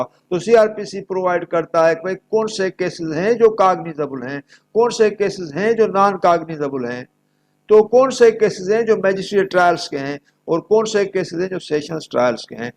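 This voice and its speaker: A man's voice: high voice, lively intonation, normal speed, darkish tone. A man with a Pakistani accent lecturing on legal provisions in an emphatic, didactic manner. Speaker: law lecturer.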